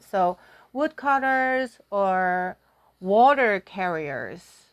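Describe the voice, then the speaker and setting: A woman's voice: lowish voice, dry recording. Chatty woman teaching casually, dry close sound. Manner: chatty, self-deprecating, thinking aloud while drawing. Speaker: Korean language teacher. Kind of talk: casual lesson told through a folktale.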